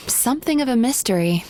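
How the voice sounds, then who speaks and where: A woman playing a gentle, composed therapist in a video game, polite and measured in her delivery. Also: a little high, animated, soft background music, lightly acted. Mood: pensive.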